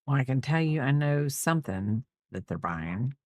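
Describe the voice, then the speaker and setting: Middle-aged woman, unhurried rambling craft chat, very varied pitch, close dry sound. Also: big pitch swings, darkish tone, dry recording. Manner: rambling, unhurried, trailing off and repeating herself. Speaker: crochet livestream host. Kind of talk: casual chat while crocheting, counting stitches aloud.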